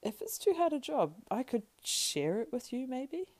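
A woman's voice: high voice, big pitch swings, measured pace, a little mellow, voice pushed up, full character voice. A woman voicing a loyal, practical male hobbit servant from a storybook, deferential and homely in manner. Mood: earnest, reluctant. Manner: deferential, homely rustic phrasing.

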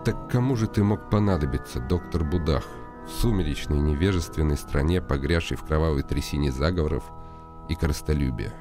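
A man's voice: very deep voice, a little fast. A man playing a weary, ironic nobleman in reflective inner monologue for a radio play. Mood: pondering. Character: weary, ironic, lonely nobleman, brooding inwardly. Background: music.

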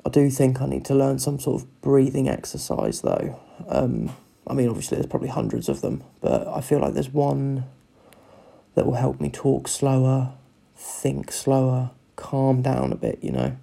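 A man with a croaky voice, rambling through a sweary, casual solo chat as a podcast host. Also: medium pitch, very animated, moderate pace, mellow. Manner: rambling, sweary, loses his train of thought.

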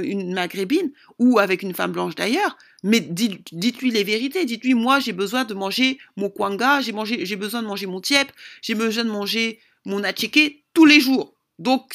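A woman with a mid-pitched voice and a clear fry in it talks straight to her followers. She gives opinionated advice informally, as a vlogger would. Her delivery is emphatic and insistent, often repeating a point to press it home. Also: a bit bright.

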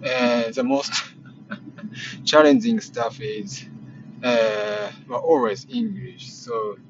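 Japanese-accented man, young adult, vlog diary; tired, halting, lots of "uh"s; dull recording with steady noise. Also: medium pitch, vocal fry. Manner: hesitant, many fillers and self-corrections. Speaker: vlogger. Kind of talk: casual spoken diary. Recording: muffled lo-fi with background noise.

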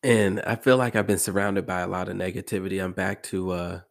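Congested, low-voiced American man podcasting. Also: flat delivery, brisk, creaky. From American Midwest. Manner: conversational, emphatic repetition. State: congested, slightly hoarse from allergies. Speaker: podcast host. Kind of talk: casual motivational monologue.

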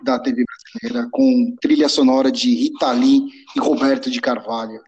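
A man's voice: medium pitch, steady tone, normal speed, a little mellow, highs cut off, slight background noise. A man from São Paulo, chatting casually and rambling as a podcast co-host, swearing freely. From São Paulo, Brazil. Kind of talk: casual chat.

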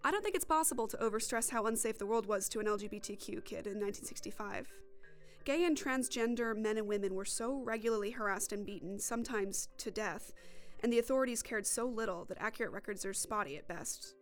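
Fast-talking woman narrating a documentary. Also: medium pitch, soft background music. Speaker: reporter and podcast narrator.

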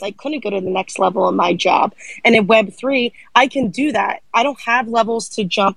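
A woman in a live audio chat, speaking earnestly and with emphasis about her own path. Her voice is middle-pitched, with a dry, close sound. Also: fairly bright tone, no echo, rough recording.

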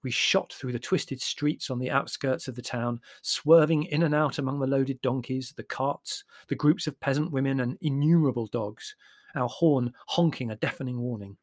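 A man's voice: mid-range voice, moderate pace, dry recording, studio quality. A man reading a literary memoir aloud as an audiobook narrator, in a descriptive storytelling style.

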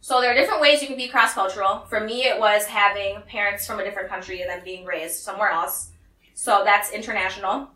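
A bright, forceful young woman talking to a small group in a room full of echo, a little back from the mic. She tells her story in a lively way and slips into other people's voices for the quotes. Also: mid-range voice, fast, a little hiss or hum. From United States. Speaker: speaker giving a talk. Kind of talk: personal talk to a small group. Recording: echoey room, voice from some distance.

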